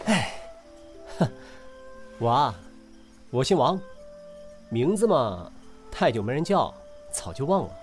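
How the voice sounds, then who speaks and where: A man's voice: medium pitch, big pitch swings, slow, pauses often, soft background music. A man acting a carefree, teasing, centuries-old ghost with a youthful air and haughty archaic speech in a period audio drama. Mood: carefree, wistful.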